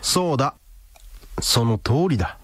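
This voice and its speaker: An actor playing a domineering, ruthless master with old-fashioned speech in a radio drama. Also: male voice, mid-range voice, lively intonation, measured pace. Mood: curt. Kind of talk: Japanese radio drama acting.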